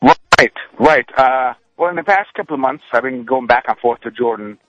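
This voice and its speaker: A man who is a journalist, a guest on a radio show, telling his reporting in a halting way with many fillers. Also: mid-range voice, muffled recording, background noise. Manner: hesitant, many fillers, eager storyteller. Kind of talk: radio interview.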